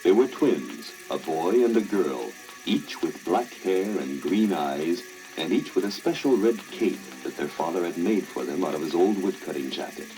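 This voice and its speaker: A man with a deep, low voice reads a scripted fairy tale for children. He serves as the storyteller, and music plays clearly behind him. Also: expressive, normal speed, dark tone, low-quality recording. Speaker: story narrator.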